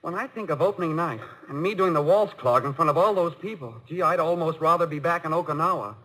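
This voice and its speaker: An actor playing an eager, bashful young college student in a 1950s radio comedy-drama, boyish, polite and stammering. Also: male voice, on the high side, fast, bright, forceful tone. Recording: noisy old radio broadcast recording.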